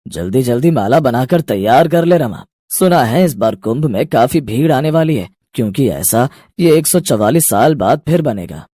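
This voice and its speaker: A man voicing a brisk, agreeable, practical garland-making father in an animated moral story. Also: medium pitch, no room sound, clean studio sound, lightly acted. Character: practical father who makes and sells bead garlands.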